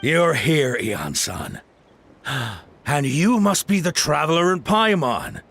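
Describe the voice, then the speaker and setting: A man's voice: on the high side, huge pitch swings, slow pace, vocal fry, a bit bright, heavily acted. A man playing a courteous, earnest caretaker in a video game, speaking politely and with measure. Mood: welcoming. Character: courteous, earnest man tending to battle casualties. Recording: music playing behind the voice.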